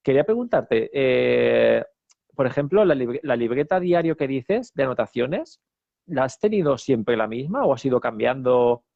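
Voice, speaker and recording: A man's voice: mid-range voice, expressive, normal speed, vocal fry, no room sound, phone-quality sound. A man from Spain hosting an interview, asking long, rambling questions full of fillers and tag questions. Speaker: interviewer host. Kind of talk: interview conversation.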